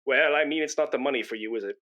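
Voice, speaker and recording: An actor playing a pompous, nervous British chatterbox in a comic radio play, rambling and stammering when flustered. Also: male voice, mid-range voice, very fast, sharp tone, lo-fi sound, natural voice. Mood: chatty, flustered. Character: pompous, nervous, chattering British man come to give blood. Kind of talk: comic radio play acting before an audience.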